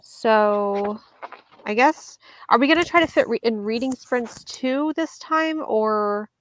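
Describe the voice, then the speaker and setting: A woman co-hosting a book club discussion over a video call, agreeable and casual, planning the next reads out loud. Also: fairly high pitch, takes it slowly, a little hiss or hum, low-quality recording. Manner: agreeable, short replies, plans aloud.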